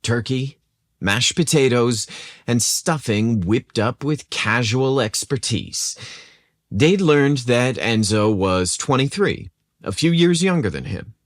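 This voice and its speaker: Male fiction narrator, lowish voice, very unhurried, deliberate descriptive delivery. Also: no room sound, studio quality. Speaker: story narrator.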